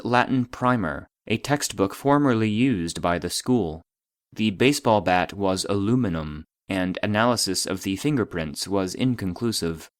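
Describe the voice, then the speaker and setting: Guy doing a formal database voice for an audio drama, clinical and measured, dry close sound, on the low side. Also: expressive, no room sound, lightly acted. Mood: clinical, detached. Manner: measured, detached, official. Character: formal, impersonal male database voice reading classified logs. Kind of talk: scripted narration of clinical reports.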